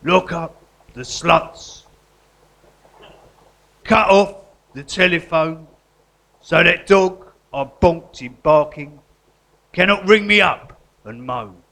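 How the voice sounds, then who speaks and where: An actor playing a matey, sentimental guerrilla artist convict in a live comedy radio drama. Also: male voice, a little high, slow, gravelly, pauses often, sharp tone, heavily acted. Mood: mock-solemn. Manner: matey, colloquial, earnest.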